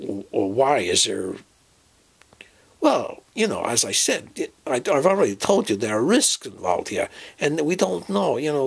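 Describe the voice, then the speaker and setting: A man playing a fussy professor and inventor who speaks in a halting, hedging way, in an amateur audio drama. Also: medium pitch, creaky, breathy edge, full character voice.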